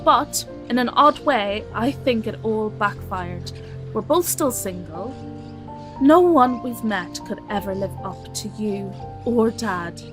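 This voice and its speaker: An actress with a British accent playing a caring, frank middle-aged single daughter in an intimate audio drama. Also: female voice, medium pitch, expressive, slow pace, over music. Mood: wistful, rueful.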